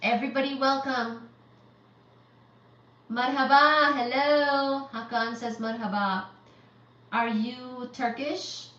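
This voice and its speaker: Slow-talking woman in her thirties. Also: sits high, steady tone, fairly bright tone. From Central Asia. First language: Uzbek. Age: 30s. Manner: reads viewer comments aloud and greets them one by one. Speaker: livestream host. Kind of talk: live-stream chat. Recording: dull, roomy, distant from the mic.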